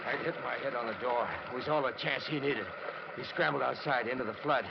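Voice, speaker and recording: A man's voice: mid-range voice, brisk, gravelly, heavy background noise, close to an everyday voice. An actor playing a desperate man who narrates his own murder plot in 1940s radio drama style, with clipped, grim delivery. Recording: muffled vintage radio recording.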